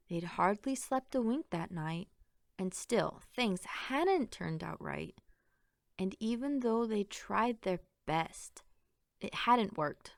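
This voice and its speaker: A woman reading a children's picture book aloud in an expressive, theatrical storytelling manner with emphatic phrasing. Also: medium pitch, creaky, no room sound, clean studio sound.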